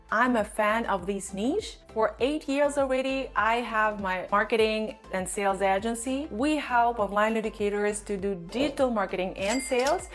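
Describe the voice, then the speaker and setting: A woman who is not a native English speaker presents an explainer to online viewers, speaking in a direct, instructive way and often posing questions she then answers. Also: a little high. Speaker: online video host, digital marketing agency owner.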